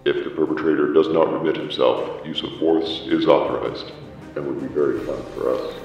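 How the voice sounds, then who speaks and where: A man voicing a coldly officious dystopian lawman's announcement, processed like a booming public-address system. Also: fairly even intonation, moderate pace, over music. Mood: cold, menacing. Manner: formal, officious. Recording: distant, muffled, long echoing reverb.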